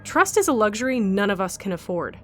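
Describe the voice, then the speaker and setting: An actress voices a hard, bitter woman held captive to fight in an arena. She is blunt and sardonic, always looking out for herself and demanding answers. Her pitch is average for a woman, and music plays behind this audio drama. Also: big pitch swings, a little fast, natural voice. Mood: cynical, desperate.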